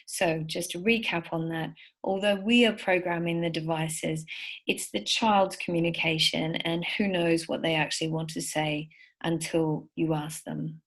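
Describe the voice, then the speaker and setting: A woman's voice: low voice, steady tone, measured pace. A woman giving a recorded slide lecture for parents, encouraging and full of stories. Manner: warm, encouraging, anecdotal. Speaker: speech therapist presenter.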